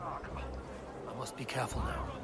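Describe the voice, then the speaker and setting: An actor playing a blunt, defiant Viking warrior who speaks in a challenging way, in a video game. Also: male voice, mid-range voice, huge pitch swings, slow, crowd noise, background noise, heavily acted. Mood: muttering. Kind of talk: dramatic video game acting. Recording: long reverb, music behind.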